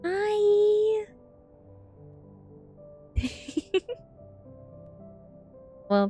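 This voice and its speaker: A woman's voice: a little high, mellow. A woman streamer reading a book ASMR style and chatting casually with viewers in a playful way. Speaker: VTuber streamer.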